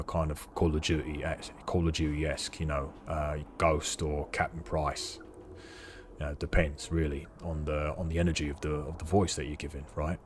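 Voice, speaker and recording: A man's voice: rumbling low, slight background noise. A British man streaming a space game, rambling chattily to his chat at a desk mic. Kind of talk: casual livestream chat while playing.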